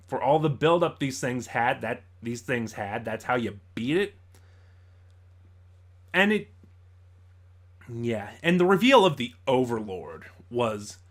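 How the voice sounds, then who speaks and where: A man with an average-pitched voice gives a casual spoken review. He talks in a conversational way, often halting to think aloud. He comes across as an informal online host sharing commentary. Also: fairly bright tone.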